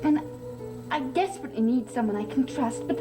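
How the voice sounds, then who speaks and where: An actress playing a torn, anxious young woman in a 1960s soap opera, pleading and earnest, heard on an old TV soundtrack over loud organ music. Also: high voice, brisk, close to an everyday voice.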